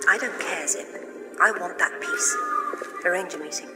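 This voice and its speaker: A woman's voice: fairly low pitch, huge pitch swings, normal speed. An actress playing a confident female adventurer archaeologist, dry and self-assured. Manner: cool, wry and self-assured. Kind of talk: video game cutscene acting. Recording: game audio under loud music.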